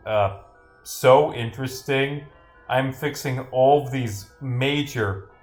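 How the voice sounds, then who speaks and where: A man with a medium-pitched voice streams a playtest in a casual, rambling way. He reads dialogue aloud and breaks off for dry asides, with music playing behind him. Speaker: streamer, game developer. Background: game music.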